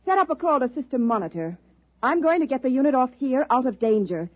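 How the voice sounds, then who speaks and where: High-pitched woman space commander, vintage radio. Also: expressive, fast, no room sound. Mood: commanding, urgent. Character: authoritative female space expedition commander, decisive but privately anxious. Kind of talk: 1950s radio drama acting. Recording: muffled vintage 1950s radio recording.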